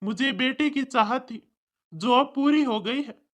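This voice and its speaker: Woman voicing a callous cartoon father, lowered voice. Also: measured pace. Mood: bitter, dismissive. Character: callous father who rejects his newborn daughter. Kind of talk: cartoon story dialogue.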